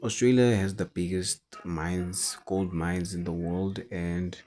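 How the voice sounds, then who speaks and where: A man who is not a native English speaker, talking through forex charts in a halting way and repeating words as he goes. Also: low voice, fairly even intonation, darkish tone, a little hiss or hum. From South Africa. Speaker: forex trader. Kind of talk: chart analysis commentary.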